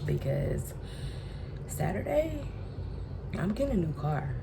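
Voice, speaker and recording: A woman in her twenties, from Texas, sick and congested with a raspy voice, giving a casual vlog update to her phone. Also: low voice, big pitch swings, slow, noisy background. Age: 20s. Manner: chatty, addresses viewers directly. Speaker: vlogger. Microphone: phone camera.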